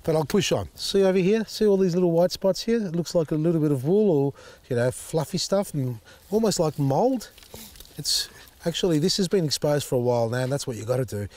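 A man, slightly husky as his voice recovers, chatting casually while demonstrating repotting outdoors in his garden. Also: a little high, lively intonation, brisk. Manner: chatty, thinks out loud, many fillers. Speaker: gardening show host. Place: outdoor garden beside a tall hedge.

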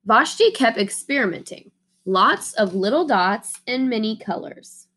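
A young adult woman reading a picture book aloud as host, with expressive, deliberate storytelling. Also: on the high side. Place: small indoor room.

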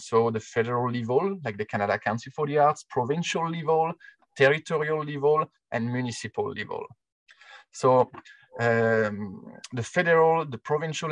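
A man in his forties from France with a French accent, giving an online presentation about arts funding over a video call, pausing often with "uh" and "um". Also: medium pitch, no room sound, rough recording. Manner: hesitant, many "uh" fillers. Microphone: headset on a video call.

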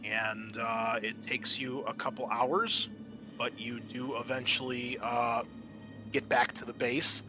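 A man running a tabletop roleplaying game as game master, narrating in a halting, improvised way. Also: medium pitch, level tone, music in the background. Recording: noticeable background noise.

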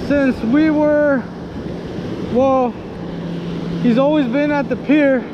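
A man's voice: extremely high pitch, steady tone, takes it slowly. A man chatting casually to viewers in a laid-back fishing vlog, recorded outdoors on a body-worn action camera. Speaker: fishing vlogger. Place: outdoors on an open ocean beach. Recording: muffled action camera, loud wind and surf. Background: surf, waves and wind.

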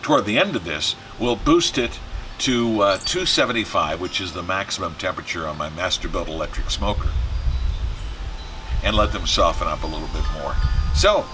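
A man's voice: deep voice, expressive, normal speed, background noise. A man in his sixties hosting a casual home cooking video in a folksy manner, with jokey asides. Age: 60s. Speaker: host of a home cooking channel. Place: home kitchen and backyard patio.

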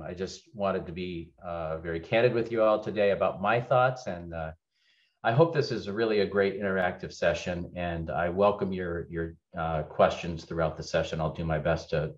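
A middle-aged man giving a conversational keynote over a video call, candid and loose, with many fillers and restarts. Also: low voice. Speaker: keynote speaker, legal executive. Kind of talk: webinar keynote presentation. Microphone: webcam on video call. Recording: dull lo-fi video call audio.

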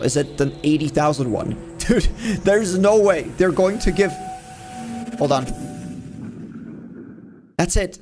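A man's voice: high voice, a little hiss or hum. A man in his twenties giving casual, jokey reaction commentary in informal language, as a video host. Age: 20s. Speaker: YouTube host reacting to game cutscenes. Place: small home room, indoors.

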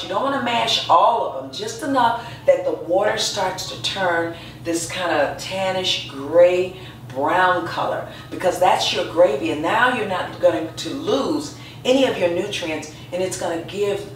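A woman's voice: lowish voice, unhurried, a little hiss or hum. A middle-aged woman giving a casual, encouraging cooking demonstration in her home kitchen, chatty and prone to restarts. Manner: chatty, encouraging, with frequent restarts and light laughs. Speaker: cooking instructor and nutritionist. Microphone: far camera. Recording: echoey kitchen from a distance.

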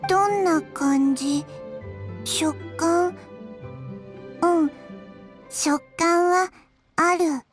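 Game undead child: small blank girl, gentle, terse, very high and extremely slow. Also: faint music behind, exaggerated voice. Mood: flat, gentle. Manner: halting, deadpan, terse. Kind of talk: Japanese game voice acting.

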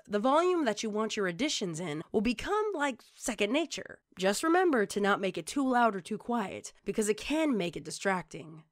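Female tutorial presenter, animated melodic delivery, instructional scripted read, dry studio-like sound. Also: medium pitch, dry recording.

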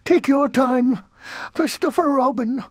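A man voicing a gentle, slow-witted toy bear who loves honey, with soft, polite, halting speech. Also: very high voice, no echo, studio quality, pitched above the performer's own voice, exaggerated voice. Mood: content. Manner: polite, halting, mildly befuddled.

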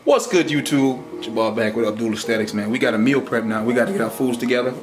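A relaxed young man chats his way through a cooking vlog at home, his voice picked up by a handheld camera in the kitchen. He talks in loose slang at an ordinary pitch, and clear music plays underneath him throughout. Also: fast talker. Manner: laid-back slangy, keeps saying "man". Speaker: fitness vlogger. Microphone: handheld camera mic.

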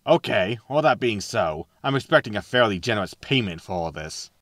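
A man's voice: on the low side, animated, brisk, a bit bright, no echo, studio quality. A man playing a roguish, wry spaceship captain with a laconic manner in a sci-fi comedy audio drama. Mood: smug, dry. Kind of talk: sitcom audio drama acting.

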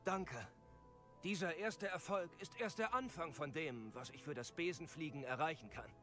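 An actor playing an eager, earnest broom shopkeeper and inventor in a video game, polite and keen to sell. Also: male voice, fairly high pitch, normal speed, fairly bright tone, natural voice. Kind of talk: acted video game dialogue. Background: background music.

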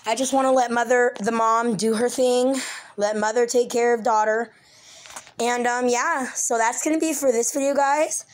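A woman with a raspy voice, a little sick and clearing her throat, chatting casually behind a phone camera in a room, with lots of ums and likes. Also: a little high, steady tone, moderate pace, a little hiss or hum. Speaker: pet channel host. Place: small room indoors. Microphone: handheld phone, mostly behind the camera.

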